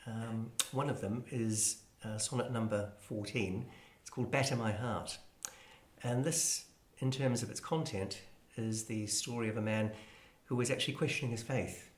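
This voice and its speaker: Low-voiced man in a roomy space. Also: fairly even intonation, normal speed. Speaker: presenter, music librarian. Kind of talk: informal explanatory talk on classical music.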